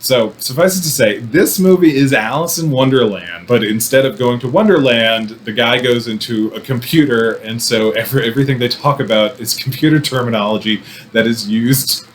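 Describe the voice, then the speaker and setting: Rambling, wry man over noticeable background noise. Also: mid-range voice. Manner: rambling, wry, animated asides. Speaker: film review podcast host. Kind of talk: casual movie commentary monologue.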